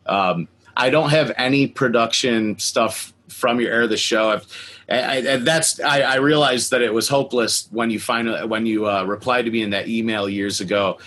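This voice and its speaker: A man's voice: medium pitch, normal speed, fairly bright tone. A man hosting a casual fan interview, talking in an enthusiastic, slangy way with chuckles. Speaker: podcast host and cartoon collector.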